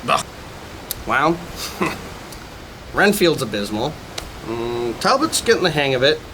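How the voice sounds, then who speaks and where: An actor playing a laid-back monster-gang member turned surf instructor in a campy comedy sketch, with hesitant, drawn-out musing. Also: male voice, mid-range voice, big pitch swings, noisy background.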